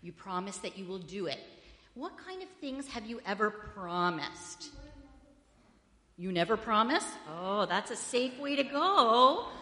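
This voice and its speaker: A woman in a church hall gives a children's sermon, questioning the kids in a friendly way. Her loud voice has a bright, forceful edge. The sanctuary rings with a long, echoey reverb. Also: mid-range voice, big pitch swings, a little slow. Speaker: children's story leader at church.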